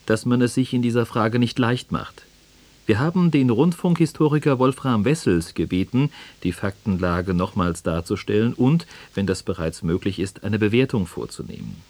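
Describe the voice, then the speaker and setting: A man's voice: mid-range voice, a little mellow. A man speaking as a radio host, reading a scripted introduction to a feature.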